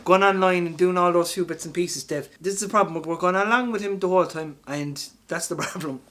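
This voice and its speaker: A man's voice: high-pitched, fast, a bit bright. An Irish man co-hosting a comedy podcast, bantering casually in an exasperated, sweary grumble. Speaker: podcast co-host.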